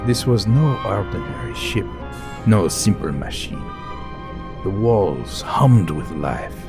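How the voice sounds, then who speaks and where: An actor playing an awed, haunted professor, narrating an audio drama in a hushed, dramatic way. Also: male voice, lowish voice, sing-song, slow pace, music in the background. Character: awed, haunted male professor narrating his undersea captivity.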